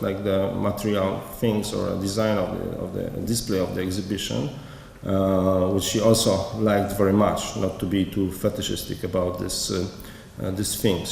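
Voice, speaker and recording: A man, a curator giving a conference lecture from a prepared paper, speaking haltingly with many pauses. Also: fairly low pitch, vocal fry, darkish tone. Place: lecture hall. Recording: echoey hall, faint background noise.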